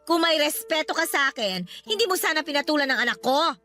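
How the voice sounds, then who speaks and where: Resentful older mother, very high voice, sharp, sarcastic jabs, radio drama, faint music behind. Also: expressive, fast. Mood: confrontational, bitter. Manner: sarcastic, needling. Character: resentful, sarcastic older mother needling her son's partner. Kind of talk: Tagalog radio drama acting.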